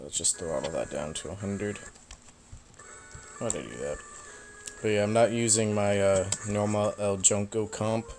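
Young man rambling over a game, slightly raspy. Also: lowish voice, darkish tone. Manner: rambling, offhand, self-mocking. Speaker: let's play host. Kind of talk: casual gameplay commentary.

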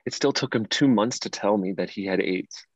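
A man's voice: lowish voice, moderate pace, close to an everyday voice. A man acting a distraught, wounded and indignant character in his late thirties in a dramatic script reading. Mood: troubled, recounting. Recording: echoey room, slightly dull sound.

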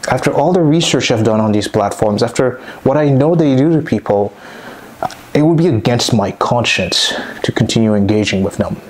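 A man in his thirties from Congo, a native French speaker, giving an earnest, measured vlog monologue into a lapel mic in a small dim room at home. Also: mid-range voice, expressive. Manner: measured, earnest, reflective delivery. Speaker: vlogger. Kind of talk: scripted personal vlog monologue. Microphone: clip-on lapel mic.

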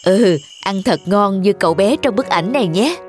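A man's voice: sits high, lively intonation, moderate pace, over music. A man playing an affectionate father and devoted son in a cartoon, talking in an earnest, reflective way. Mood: tender storytelling. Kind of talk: animated cartoon dialogue and storytelling.

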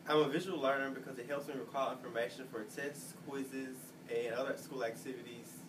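A twenty-year-old male student giving measured interview answers with "uh" and "um" pauses. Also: mid-range voice. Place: office computer room, indoors. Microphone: distant camera mic. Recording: distant mic with steady hiss.

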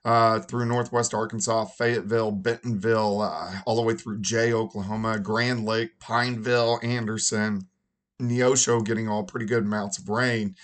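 A hoarse meteorologist in his forties talks through the weather in a loose, chatty way, with a lowish voice. He speaks from a small home office at his desk, close to his webcam. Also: normal speed. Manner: relaxed, conversational with fillers. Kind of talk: casual weather forecast.

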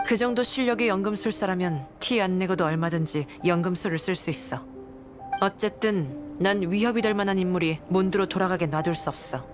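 An actress playing a suspicious young nun in an anime game, cool, blunt and curt. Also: female voice, fairly low pitch, a little fast, lightly acted. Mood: cold, suspicious. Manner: curt, detached, dry. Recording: clean studio voice over background music.

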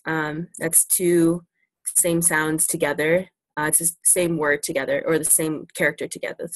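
A woman's voice: low voice, little pitch movement, moderate pace, no echo. A young woman presenting to students over a video call, talking casually with lots of ums and likes. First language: English. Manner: easygoing, many ums and likes. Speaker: student presenter teaching about China. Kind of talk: casual virtual classroom Q&A. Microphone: laptop webcam video call.

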